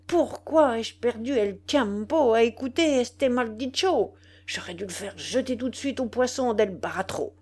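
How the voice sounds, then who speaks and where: A woman's voice: medium pitch, darkish tone. A woman voicing a vengeful, gloating male dwarf sorcerer in an audiobook, with theatrical, commanding emphasis. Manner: theatrical, emphatic, cursing with Spanish words mixed in.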